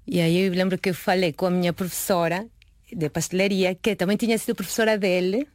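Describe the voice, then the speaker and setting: A woman's voice: lowish voice, fairly even intonation, brisk, a little mellow, no room sound, clean studio sound. Chatty woman in her late thirties. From Mexico. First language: Spanish. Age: late 30s. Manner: informal, chatty, acting out reported conversations. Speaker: guest, pastry chef. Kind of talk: casual autobiographical storytelling in an interview.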